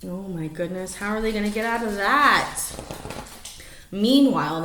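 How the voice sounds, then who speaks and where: Animated woman reading a children's storybook, roomy echo. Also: medium pitch. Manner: animated storyteller, stretching key words, asking prediction questions. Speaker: storybook reader for young children. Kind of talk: expressive read-aloud of a rhyming picture book with asides to children. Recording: roomy echo, faint background noise.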